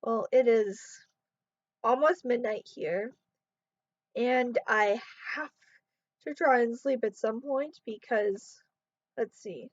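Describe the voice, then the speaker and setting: A sleep-deprived woman talks loosely to her camera, rambling and trailing off as her voice wears hoarse. Her voice sits a little high. The sound is dull and muffled, in a dead, dry room. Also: takes it slowly, pauses often, dry recording. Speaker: vlogger. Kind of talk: casual talk to camera.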